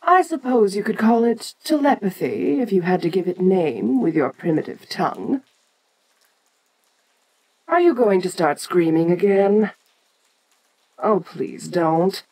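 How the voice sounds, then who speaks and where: A woman's voice: medium pitch, animated, measured pace, long pauses, darkish tone, poor audio. A woman playing an ancient, domineering giant kaiju queen in an audio roleplay, speaking with imperious condescension. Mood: amused condescension, then weary, irritated. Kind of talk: scripted audio roleplay addressed to the listener.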